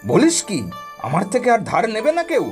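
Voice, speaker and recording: A greedy, scheming cartoon moneylender from a village. The voice is high for a man and swoops dramatically. He blusters and exclaims theatrically, with faint music behind. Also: wildly swooping pitch, brisk, a little mellow. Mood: incredulous. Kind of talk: Bengali cartoon voice acting.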